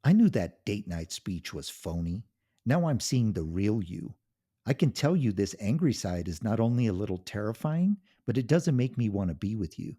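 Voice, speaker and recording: Man voicing a scornful wife, mocking, cutting, husky, swooping delivery. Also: fairly low pitch, sing-song, moderate pace, dark tone. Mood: cool mockery. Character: scornful cold-hearted wife, adult woman.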